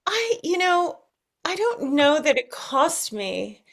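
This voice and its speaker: Slow-speaking American woman, higher-pitched voice. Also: very animated, gravelly, fairly bright tone. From United States. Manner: thoughtful, halting, searching for words. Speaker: interview guest, singer. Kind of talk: reflective interview conversation.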